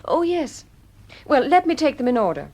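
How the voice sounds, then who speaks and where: An actress playing a smart, inquisitive detective's assistant in an audio drama, playful and probing. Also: female voice, high voice, very animated, moderate pace, darkish tone. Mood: teasing, curious.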